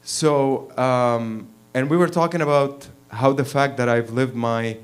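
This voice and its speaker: A man in his thirties from Lebanon telling a personal story at an open mic, blunt and profane, laughing and getting louder as he gets worked up. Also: medium pitch, a little slow. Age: 30s. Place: small performance venue, in front of an audience.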